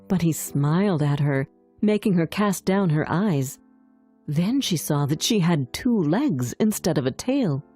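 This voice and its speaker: A woman reads a scripted fairy tale as a storyteller. Her voice is fairly low and very melodic in its swings, with a soft, breathy tone. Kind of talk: scripted fairy tale narration for language learners.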